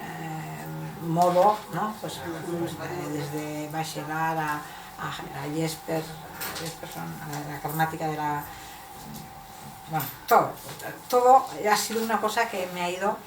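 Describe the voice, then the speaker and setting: A low-voiced woman poet speaks at an informal reading. She is hesitant and corrects herself, heard from a distance in an echoing, noisy room. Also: fairly even intonation, slow pace, gravelly. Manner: hesitant, self-correcting, searching for words. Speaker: poet presenting and reading own work. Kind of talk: informal book presentation and poetry reading. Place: bookshop event.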